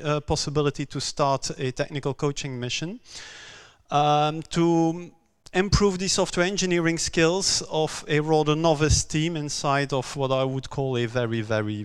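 A man from Belgium, for whom English is not his first language, giving a conference talk on a stage with many pauses, "um"s and repeated words. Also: a little high, clear, resonant tone. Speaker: conference speaker.

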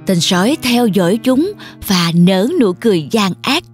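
A woman storyteller reading a scripted narration for a children's animated tale. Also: mid-range voice, moderate pace, soft background music.

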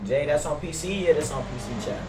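Young American male game streamer, slightly high-pitched, casual sweary slang, roomy and distant with car noise behind. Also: fast talker. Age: young adult. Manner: slangy, swearing street talk. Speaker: streamer playing an online role-play game. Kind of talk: casual in-game banter and chat. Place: indoors at a gaming desk. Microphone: gaming headset. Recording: roomy headset audio with clear background noise. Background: game car and street ambience.

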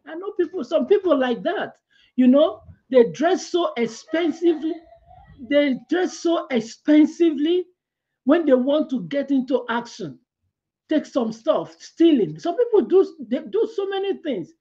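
A middle-aged woman giving a devotional sermon from a small room at home. She tells her stories with lively hand gestures and laughs along the way. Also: high voice, a little slow, highs cut off. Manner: animated storytelling with hand gestures, laughs through her anecdotes. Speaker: preacher.

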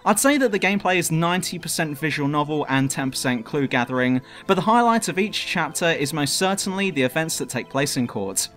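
Expressive man narrating, music behind. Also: fairly high pitch, fairly quick, hardly any pauses. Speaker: narrator of a top ten review video. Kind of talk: scripted review narration.

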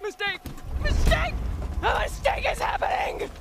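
A woman's voice: extremely high pitch, lively intonation, measured pace, vocal fry, very noisy, exaggerated voice. A woman playing an unhinged, childishly affectionate video game psycho bandit who blurts out erratic, broken exclamations. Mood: frantic.

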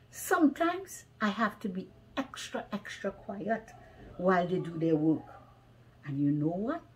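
Slow, lilting woman reading to children. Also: medium pitch, rough recording. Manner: deliberate, expressive, addressing young children. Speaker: storyteller reading aloud to children. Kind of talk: children's picture book read-aloud. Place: small living room at home, indoors.

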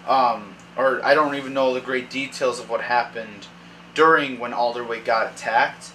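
A young man running a tabletop game from a dim home kitchen, picked up by a camera across the table. His voice sits at an ordinary middle pitch, with a faint room hiss behind it. He reads room descriptions in a halting way and pauses often to think aloud. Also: steady tone, bright, forceful tone, poor audio. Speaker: dungeon master. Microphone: far camera.

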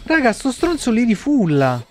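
A man's voice: extremely high pitch, wildly swooping pitch, moderate pace. A young man giving casual live game commentary, muttering and swearing in exasperation to his viewers. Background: video game music.

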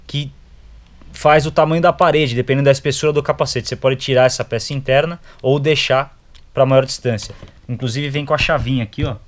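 Casual Brazilian man demonstrating a product. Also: mid-range voice. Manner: colloquial, instructive, checks in with short tags. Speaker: product reviewer. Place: motorcycle gear shop, indoors.

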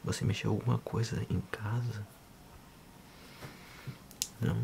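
A man in his thirties with a Brazilian accent, speaking softly and unhurriedly in an ASMR role-play as a physiotherapist addressing the listener. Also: fairly low pitch, flat delivery, vocal fry, slight background noise. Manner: soft, unhurried, hushed.

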